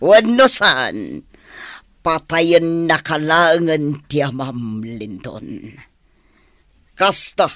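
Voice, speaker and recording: Slow, gloating villainess of radio drama. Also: female voice, low voice, big pitch swings, a bit bright, no room sound, clean studio sound, exaggerated voice. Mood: gloating, menacing. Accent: Filipino. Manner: mocking, drawn-out, laughing taunts. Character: cruel gloating woman who taunts a battered man. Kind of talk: Philippine radio drama acting.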